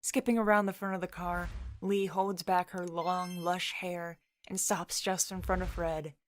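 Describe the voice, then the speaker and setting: A woman's voice: lowish voice, level tone, a little slow, slight background noise. A woman narrating a scripted story in the present tense, as the storyteller between character lines.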